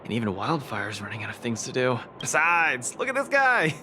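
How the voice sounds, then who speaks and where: A man's voice: mid-range voice, huge pitch swings, moderate pace, pushed and bright, slight background noise, full character voice. A man voicing an upbeat, brash young male fighting champion in a video game, speaking casually and with enthusiasm. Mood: eager. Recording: background music under the voice.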